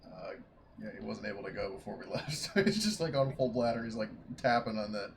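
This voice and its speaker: Gravelly man chatting over background noise. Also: fairly low pitch, brisk. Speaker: player in a tabletop game. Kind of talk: casual chat. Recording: clearly noisy, background ambience.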